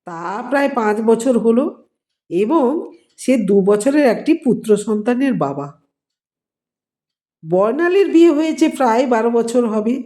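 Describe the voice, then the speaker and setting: Slow, mellow woman storyteller. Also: a little high. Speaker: storyteller reading own written story. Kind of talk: scripted narration of a family drama.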